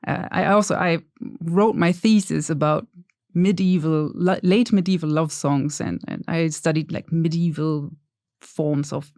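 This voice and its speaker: A woman's voice: low-pitched, steady tone, unhurried, a little mellow, dry recording. Woman on a dry close mic. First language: German. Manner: thoughtful, hesitant, self-deprecating. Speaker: podcast guest. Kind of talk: casual interview chat.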